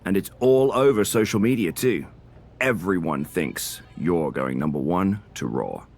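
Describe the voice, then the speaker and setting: A sly wrestler chats backstage in a video game with a deep voice. He sounds friendly on the surface while needling the other man. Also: lively intonation, measured pace, a little mellow, exaggerated voice. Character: sly male wrestler scheming over a draft pick. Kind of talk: backstage conversation.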